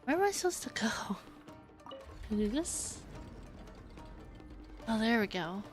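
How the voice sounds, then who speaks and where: A woman's voice: on the high side, sing-song, unhurried. A woman giving casual live gameplay commentary, talking to herself and exclaiming. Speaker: game streamer. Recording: game music behind. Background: video game music.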